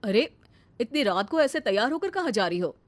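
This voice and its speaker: A woman storyteller plays a middle-aged mother-in-law who disapproves. The voice is high and close, reproachful throughout. It suits a moral family storybook. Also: very animated, normal speed, dry recording. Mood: disapproving.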